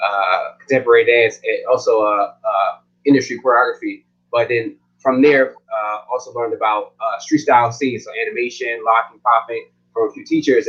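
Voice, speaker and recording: A man's voice: on the low side, fairly bright tone, dull audio. A man dancer talking casually on a panel, with lots of "uh" and "you know". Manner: casual, many fillers. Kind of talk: panel conversation.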